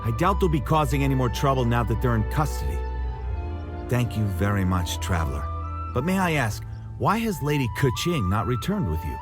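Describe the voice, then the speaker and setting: A man's voice: mid-range voice, sing-song, brisk, music in the background, close to an everyday voice. Man voicing a courteous guard captain. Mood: reassuring. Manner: courteous, reassuring. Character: polite adult guard captain, calm and dutiful. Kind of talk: game cutscene voice acting.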